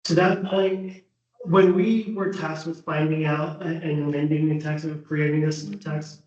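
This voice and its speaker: A man, a planning board member, explaining proposed text amendments at a city meeting, measured and full of "uh" and "um". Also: on the high side, steady tone, darkish tone. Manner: measured, with frequent "uh" and "um". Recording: echoey chamber, distant muffled mic.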